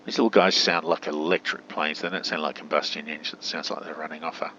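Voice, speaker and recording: A man with a deep voice that has a bright edge chats his way through a simulator game. He thinks aloud in a casual way and slips into spoken radio commands. The recording is dull, with faint hum behind him. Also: steady tone, quick pace. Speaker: gamer narrating a simulator playthrough.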